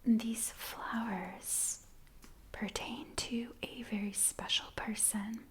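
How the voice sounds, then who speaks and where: A woman doing a soft-spoken ASMR roleplay as a biographer, gently and attentively addressing the listener as her client. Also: on the high side, fairly even intonation, takes it slowly.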